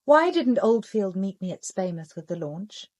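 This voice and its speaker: A woman's voice: medium pitch, very animated, normal speed, a little mellow, no echo, clean studio sound. An actress playing an earnest, probing young woman confronting a suspect in an audio drama, direct and controlled. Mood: pointed, accusing.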